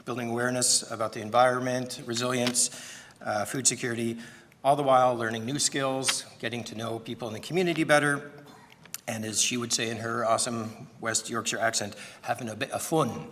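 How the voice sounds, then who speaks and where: Soft-spoken man speaking in a hall. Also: mid-range voice, fairly even intonation, a little fast, slight background noise. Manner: soft-spoken, with throat-clearing. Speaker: event speaker introducing a presenter. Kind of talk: prepared speech. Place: large conference hall. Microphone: podium microphone.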